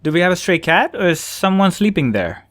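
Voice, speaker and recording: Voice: mid-range voice, sing-song, brisk, no room sound. A performer voicing a friendly, effusive, slightly flustered priest in an audiobook drama. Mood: concerned.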